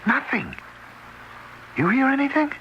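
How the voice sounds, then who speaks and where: An actor playing a devoted, worried older husband in an old-time radio drama, homely and soothing. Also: male voice, extremely high pitch, big pitch swings, measured pace, dull audio, heavily acted. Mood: patient, puzzled. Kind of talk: old-time radio drama acting and first-person narration. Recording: hissy old radio broadcast recording.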